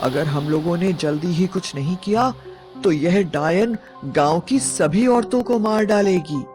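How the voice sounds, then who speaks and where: A woman voicing a grave, commanding male village headman in a horror storybook tale, speaking deliberately. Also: on the low side, a little mellow, lightly acted. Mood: grave warning. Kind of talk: horror storybook character reading. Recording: music bed behind the voice.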